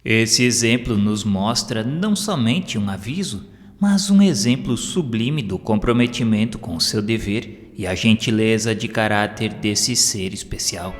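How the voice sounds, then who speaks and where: A Brazilian man narrates a scripted devotional audiobook in a lowish voice. Long reverb surrounds his words, and plucked guitar music plays behind him. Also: animated, a little slow.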